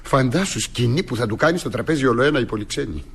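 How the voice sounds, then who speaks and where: Husky, grumbling young master. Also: male voice, mid-range voice, expressive, fairly bright tone. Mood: grumbling, amused. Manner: exclamatory, grumbling. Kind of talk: theatrical stage comedy acting.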